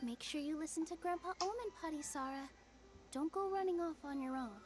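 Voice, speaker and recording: Lady playing a sweet young fairy tale game heroine, high gentle voice, earnest delivery. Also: brisk, music in the background, lightly acted. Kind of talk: video game character acting.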